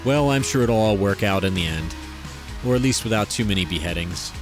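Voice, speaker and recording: A man reading a scripted announcement as show host, wry and measured. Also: on the low side, animated, music in the background. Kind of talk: scripted narration.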